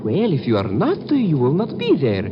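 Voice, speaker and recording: Oily, animated Middle Eastern curio dealer. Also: male voice, fairly high pitch, moderate pace, over music. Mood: sly, courteous. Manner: flowery, over-polite, hesitant. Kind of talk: 1950s radio drama acting. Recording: muffled, very noisy old radio recording.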